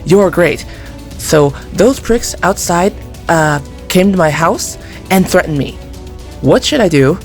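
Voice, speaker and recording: A woman's voice: fairly low pitch, expressive, takes it slowly, soft background music. A woman voicing a nervous young male gamer in an audio drama, hesitant and stammering. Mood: flustered.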